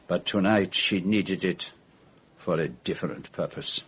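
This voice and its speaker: Fussy, theatrical foreign detective, slightly husky. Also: male voice, deep voice, a little slow, a bit bright, exaggerated voice. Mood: grave. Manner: precise, theatrical, formal phrasing with French forms of address. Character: fussy, shrewd foreign gentleman detective explaining a crime. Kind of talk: radio detective drama acting.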